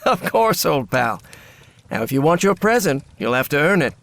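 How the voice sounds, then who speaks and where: An actor playing an earnest, theatrical professor and devoted husband in a dramatic video game. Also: male voice, a little high, very animated, normal speed, vocal fry, a bit bright, heavily acted. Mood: playful, tender. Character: Harry Everhart, devoted husband and troubled professor, increasingly alarmed.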